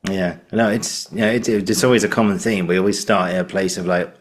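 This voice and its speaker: A man's voice: deep voice, steady tone, brisk. A man hosting a conversational interview in an effusive, rambling, encouraging way. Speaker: podcast host.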